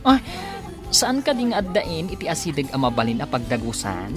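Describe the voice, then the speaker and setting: A woman's voice: deep voice, sing-song, moderate pace. An actress playing a flirtatious, coy female bar customer who drawls her interjections in an Ilocano comedy radio drama. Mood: coy, tipsy. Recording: radio drama with music behind.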